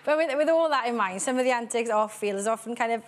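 A Welsh woman in her thirties presenting a studio interview, teasing and bright, on a lapel mic. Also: a little high, quick pace. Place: TV studio with audience.

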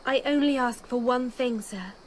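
An actress playing a gentle, anxious and devoted young woman in a radio drama, speaking earnestly and with deference. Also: a little high, normal speed, a little hiss or hum, natural voice. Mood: dutiful.

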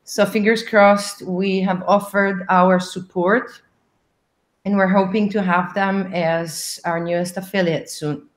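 A middle-aged woman, an English speaker, explaining things in a measured way as a guest on a video call. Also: medium pitch, steady tone. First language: not English. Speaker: association president, interview guest. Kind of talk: interview talk on a video call. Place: indoor room. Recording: echoey room over video call.

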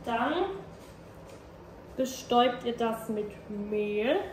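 A young woman in her twenties, a food vlogger chatting casually and relaxed at home. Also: a little high, extremely slow. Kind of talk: casual vlog chat. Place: home rooms and kitchen, indoors. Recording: echoey room with background noise.

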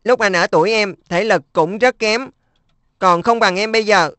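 Woman as kind big-brother schoolboy, cartoon dub: steady, encouraging, dry. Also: mid-range voice, normal speed, no echo, close to an everyday voice. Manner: reassuring, coaxing.

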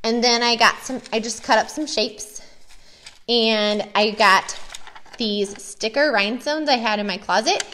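A woman's voice: a little high, unhurried, sharp tone, a little hiss or hum, low-quality recording. An American woman, a preschool teacher, chatting enthusiastically and casually as she shows classroom activities.

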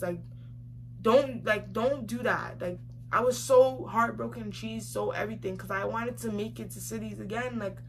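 A young woman telling a story casually to camera, emphatic and slangy, repeating phrases for effect. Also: a little high. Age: young adult. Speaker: vlogger. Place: small room indoors, seated facing camera. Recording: clearly noisy home recording.

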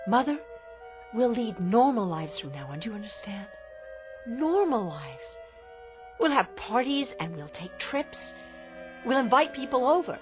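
An actress playing an emotional young android daughter in a radio drama, pleading and dramatic. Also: female voice, on the high side, sing-song, measured pace, long pauses, music in the background. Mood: hopeful, eager. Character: anguished young android daughter discovering she is a robot.

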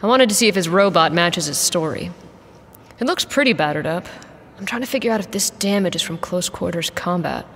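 A woman's voice: on the low side, expressive, a little fast, fairly bright tone, big-room sound, a little hiss or hum. A woman playing a guarded, blunt, loyal mercenary pilot in an audio drama, with a dry manner. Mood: wry, questioning.

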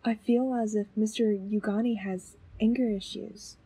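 A woman's voice: medium pitch, fairly even intonation, unhurried, heavy background noise, close to an everyday voice. A woman playing a thoughtful, inquisitive investigator's companion in an audio drama, with a musing, questioning manner. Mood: curious, musing. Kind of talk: fan audio drama acting.

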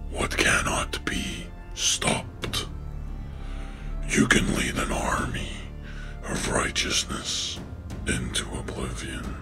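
An ancient villain lord speaks in a gravelly whisper, drawing out each portentous phrase very slowly. He is grandiose and menacing, a cold commander from a horror short, with music behind him. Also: extremely low pitch, heavily acted. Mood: threatening. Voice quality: raspy, croaking whisper. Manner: slow, portentous, drawn-out phrases.

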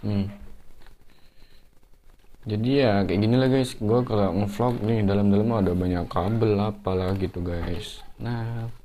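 A young man talks viewers through a setup in a relaxed, slangy way, with plenty of fillers. His voice sits a little low. He is recorded on a camera mic in a living room. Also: a little slow, a little hiss or hum. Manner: slangy, chatty, full of fillers. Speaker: motovlogger. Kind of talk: casual hands-on tutorial. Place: living room at home, indoors.

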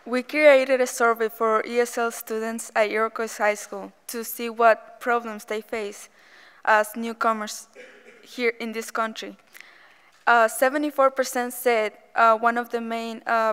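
A teenage girl from Guatemala, a student presenter, reading slowly and hesitantly with fillers. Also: a little high, flat delivery, a bit bright, smooth. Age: teens. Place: large theater stage. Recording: reverberant auditorium.

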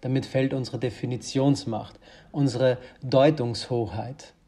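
Actor as brusque strategist with a mellow voice. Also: male voice, medium pitch, fairly even intonation, measured pace, slightly rough. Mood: grave, urgent. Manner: brusque, emphatic, formal wording. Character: brusque, vehement male lobby strategist. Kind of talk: audio drama acting.

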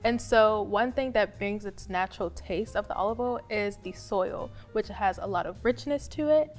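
A woman's voice: medium pitch, normal speed, soft background music. Upbeat young woman presenting products. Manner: upbeat, frequent agreeing murmurs. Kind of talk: casual cooking demonstration. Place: studio kitchen set.

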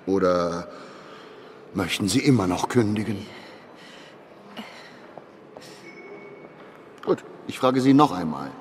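An actor in a radio drama playing a cold, curt, calculating chief of an intelligence agency, a man who does not yield. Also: medium pitch. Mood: insistent, commanding. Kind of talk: modern radio drama acting. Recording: long reverb, noisy ambience.

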